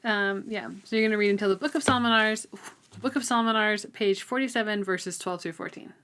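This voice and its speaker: Give a woman with a mid-pitched voice co-hosting a book podcast. She talks informally, as in a relaxed book-club chat between two readers. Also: fast, clean tone.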